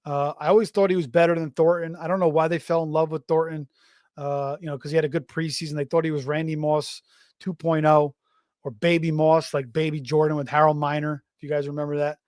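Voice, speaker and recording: A middle-aged man hosting a fantasy football show in casual live-stream talk, slightly hoarse, rambling off on tangents with plenty of fillers and restarts. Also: fairly high pitch, level tone, brisk, a little mellow, no echo, studio quality. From Florida. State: slightly hoarse, voice going raspy.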